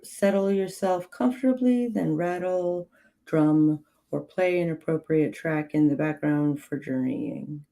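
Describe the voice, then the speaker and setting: Woman, low pitch, gravelly, slightly hoarse, slow measured reading, livestream host. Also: mellow. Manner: slow, measured reading with clipped, dry asides to chat. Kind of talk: reading aloud with chat asides.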